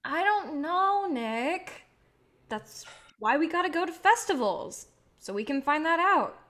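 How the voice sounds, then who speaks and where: Woman acting an earnest, high-voiced filmmaker. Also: very animated, measured pace, fairly bright tone, full character voice. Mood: matter-of-fact. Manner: earnest, coaxing. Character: idealistic, patient woman filmmaker soothing her friend. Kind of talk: staged play reading.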